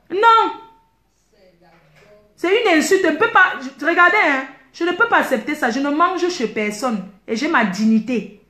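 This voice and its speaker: A high-pitched woman speaks, her delivery indignant and emphatic as she keeps circling back to her points. She addresses her viewers directly. The voice rings in a reverberant room. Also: fairly bright tone. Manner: indignant, emphatic, repeats herself. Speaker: online video commentator. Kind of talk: heated personal rant to viewers. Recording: echoey room.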